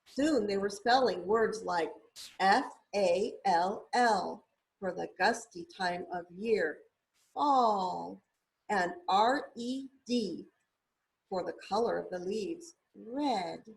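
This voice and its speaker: A woman reading a children's story aloud expressively, with deliberate, drawn-out emphasis for young listeners. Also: medium pitch, creaky, rough recording. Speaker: storybook reader.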